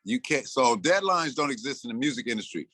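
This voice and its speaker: Man in his sixties, casual interview talk, animated, bright tone, dry recording. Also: medium pitch, brisk, dry recording. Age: 60s. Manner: loose, animated storyteller who acts out his own lines. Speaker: interview guest, rapper and producer.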